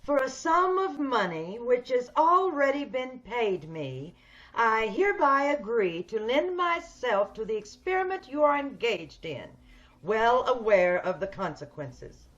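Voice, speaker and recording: Villainess for radio horror, played by a woman: fairly high voice, measured polite menace, scheming, slightly muffled sound. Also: sing-song, a bit bright. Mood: controlled, calculating. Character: sinister, hideous woman scheming to steal beauty. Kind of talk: old-time radio horror acting. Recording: dull video-call radio play recording.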